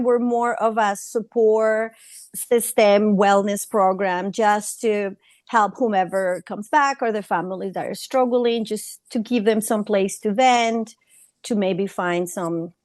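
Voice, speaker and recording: A woman therapist from Colombia with a Colombian Spanish accent, a native Spanish speaker, talking in a halting way with fillers in an interview. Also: medium pitch, dry recording. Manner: warm, halting, many fillers and restarts. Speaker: trauma therapist guest. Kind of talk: conversational interview.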